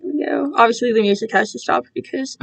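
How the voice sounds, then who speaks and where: A young woman chatting casually on a livestream in a rambling, animated way, often interrupting herself. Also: fairly high pitch, quick pace, vocal fry, rough recording. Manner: animated, self-interrupting, quotes herself and others dramatically. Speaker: livestream host and amateur fan fiction reader.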